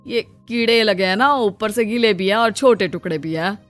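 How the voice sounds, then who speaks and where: A woman's voice: mid-range voice, normal speed, a bit bright, soft background music, natural voice. Woman playing an obliging, chatty market seller. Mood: matter-of-fact. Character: obliging, chatty male village vegetable and grain seller. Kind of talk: Hindi cartoon moral story acting.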